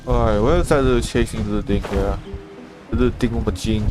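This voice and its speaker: A man livestreams a video game with casual, think-aloud commentary. His voice sits at an ordinary pitch, with game music playing clearly behind him. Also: a little fast. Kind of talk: casual live gameplay commentary.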